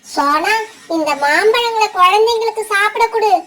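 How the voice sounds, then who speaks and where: Squeaky, bright little cartoon bird. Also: female voice, normal speed. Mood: friendly. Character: friendly little female bird, bright and chirpy. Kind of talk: children's cartoon story dialogue.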